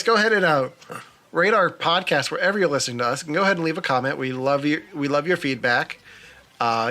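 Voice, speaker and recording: A man's voice: a little high, sharp tone. A man hosting a podcast, chatting casually and with enthusiasm about movies and games. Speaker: podcast host.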